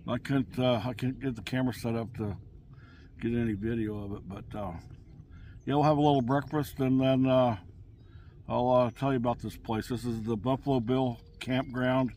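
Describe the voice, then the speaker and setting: A middle-aged man talks easily to a camera set up at his campsite, wandering through his thoughts with fillers and restarts. His voice sits at a middling pitch. A faint hush of open-air ambience runs underneath. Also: fairly even intonation, moderate pace, a little hiss or hum. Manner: rambling, laid-back, with many fillers and restarts. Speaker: camping vlogger. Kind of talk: casual outdoor cooking vlog. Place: campsite outdoors on open grass. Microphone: camera on a table nearby.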